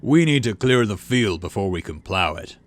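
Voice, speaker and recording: A man's voice: on the low side, animated, normal speed, dry recording, close to an everyday voice. A man voicing a bombastic young colony governor giving rousing, declamatory speeches in a video game. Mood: rousing, fervent. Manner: declamatory, rousing political oratory.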